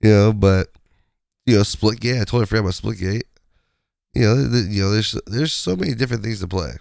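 A man with a low, raspy voice from a sinus infection, chatting casually on his podcast. He rambles along with plenty of fillers. The sound is close and dry, with no room around it. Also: brisk, darkish tone, no echo. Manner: rambling, conversational, many fillers. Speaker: podcast host. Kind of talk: casual solo podcast chat.

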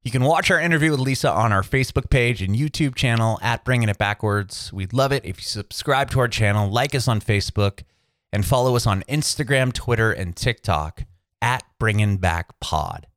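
Young male podcast host, mid pitch, dry close sound, eager and loose. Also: expressive, moderate pace, a bit bright, dry recording. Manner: eager, upbeat, asks rambling questions. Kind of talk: podcast intro and casual interviewing.

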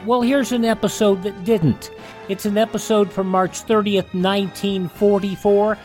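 A man's voice: sits high, steady tone, normal speed, a little mellow, soft background music. A man hosting a radio show of vintage programs, talking conversationally to listeners.

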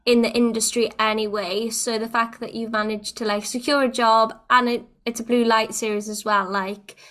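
A woman's voice: fairly high pitch, level tone, normal speed, fairly bright tone. A woman from Wales hosting a casual podcast, enthusiastic and saying "like" a lot. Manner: enthusiastic, lots of "like". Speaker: podcast host. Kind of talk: casual chat.

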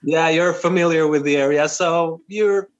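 A man's voice: a little high, moderate pace. A man in his twenties from North Macedonia whose first language is Albanian, telling a story as a podcast guest with many fillers and restarts. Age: 20s. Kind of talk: casual interview. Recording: muffled lo-fi audio.

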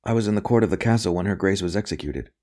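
A man acting a hushed, guarded captive priest. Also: sits low. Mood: hesitant, evasive. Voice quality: hushed, breathy murmur. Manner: halting, guarded answers. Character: captive young priest under torture, evasive, frightened but steadfast.

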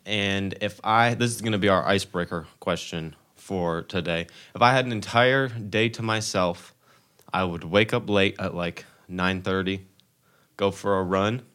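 A man's voice: low voice, a little slow, fairly bright tone. An eighteen-year-old American man from Tennessee hosting a casual group podcast chat. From Tennessee, United States. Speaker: podcast host.

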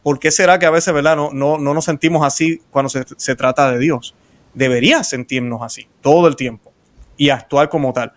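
A man's voice: fairly high pitch, moderate pace. A Puerto Rican man hosting a faith interview show, talking in a rambling way with many restarts. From Puerto Rico. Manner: warm-hearted, rambling with restarts. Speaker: host.

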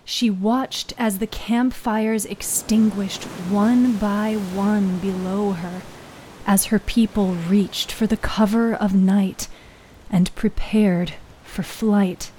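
Slow, mellow woman narrating dramatic fiction. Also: mid-range voice, a little hiss or hum. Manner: deliberate, halting, lingering on short phrases. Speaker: storyteller, narrator of a serial fiction podcast. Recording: music under the voice. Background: music.

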